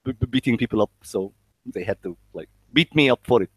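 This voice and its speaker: A man's voice: mid-range voice, lively intonation, fairly bright tone, breathy edge, dry recording, poor audio. Egyptian guy just chatting, blunt and sweary, trips over his words, really dry sound. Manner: halting, stammering with repeated word starts. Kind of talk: casual conversation.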